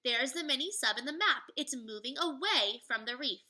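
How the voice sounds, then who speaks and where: A woman's voice: sits high, expressive, normal speed, sharp tone, heavily acted. A woman voicing a timid, cautious and fretful young boy while reading a storybook. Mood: thinking.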